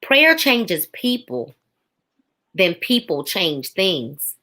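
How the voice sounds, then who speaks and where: A woman's voice: fairly low pitch, very animated, extremely slow, pushed and bright. A woman in her forties giving a faith testimony as a podcast guest, emphatic, with a preaching rhythm and repeated phrases. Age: 40s.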